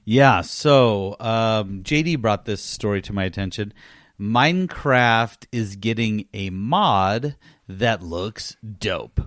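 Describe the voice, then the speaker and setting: A man's voice: lowish voice, animated, slow, a bit bright, dry recording, clean studio sound. A middle-aged man chatting casually as the host of a gaming podcast.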